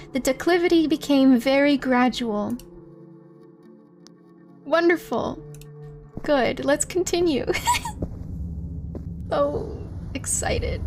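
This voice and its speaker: A giggly, expressive woman hosting a casual livestream, chatting with viewers and reading a story aloud. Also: sits high. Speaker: livestream host. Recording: music playing behind the voice. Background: background music.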